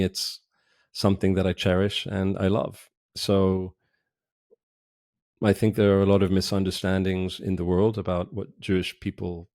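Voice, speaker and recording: A middle-aged man from Israel, a podcast guest, talking casually in a direct, reflective way with pauses and restarts. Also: low voice, darkish tone, dry recording, clean studio sound. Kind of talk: casual interview talk. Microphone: remote call.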